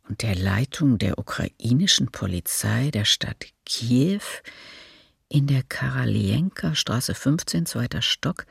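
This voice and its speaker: A stern, menacing police commander delivers a decree in a radio documentary drama. The voice is extremely raspy and heavily creaky. It sounds official and dry, with no room around it. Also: male voice, medium pitch, no room sound, full character voice. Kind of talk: documentary radio play reading.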